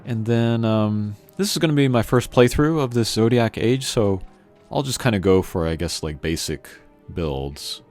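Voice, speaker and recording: A man's voice: on the low side, animated, normal speed, hardly any pauses, darkish tone. A man hosting a casual video game playthrough, laid-back and hesitant as he comments. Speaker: gaming channel host. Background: game music.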